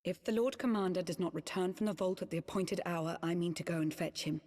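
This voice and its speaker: A woman playing a resolute, loyal lady knight in a fantasy game, speaking formal archaic lines in a measured, earnest, eloquent way. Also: on the low side, darkish tone, natural voice. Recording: long hall reverb.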